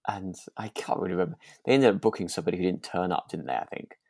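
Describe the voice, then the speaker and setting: Low-pitched man, tentative podcast chat. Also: quick pace, a little mellow, dry recording, clean studio sound. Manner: hesitant, tentative, thinking aloud. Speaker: podcast co-host. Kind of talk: relaxed chat discussing a radio drama.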